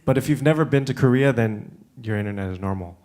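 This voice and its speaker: A man who grew up in the United States, speaking on a conference panel in a relaxed way with many fillers and restarts. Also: mid-range voice, fast. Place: conference room.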